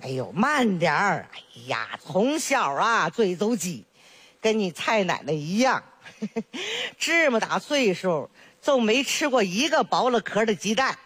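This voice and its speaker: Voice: medium pitch, very animated, measured pace, a bit bright, husky, full character voice. A performer playing a chatty, doting old village grandmother with a Northeastern Chinese accent in a solo comedy sketch, full of exclamations and jokes. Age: older. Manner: sing-song, full of exclamations, teasing and jokey.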